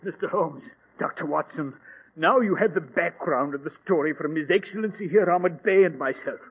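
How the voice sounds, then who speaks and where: An actor in a 1940s radio drama, playing a confident, excitable archaeologist knight with a husky voice. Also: male voice, a little high, normal speed. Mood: grave. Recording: muffled 1940s radio recording.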